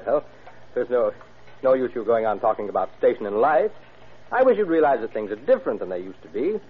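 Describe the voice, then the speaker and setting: An actor playing a practical young doctor, a fond son reassuring his mother in a brisk, offhand way in an old radio drama. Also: mid-range voice, sing-song, slightly rough. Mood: concerned, reassuring. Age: young adult. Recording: thin, tinny old radio recording.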